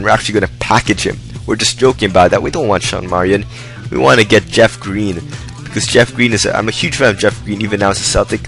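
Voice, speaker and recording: A young man with a hoarse voice giving rambling, upbeat commentary over his own gameplay. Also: on the low side, fairly bright tone. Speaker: gaming video host. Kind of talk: casual game commentary. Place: indoors, hot room.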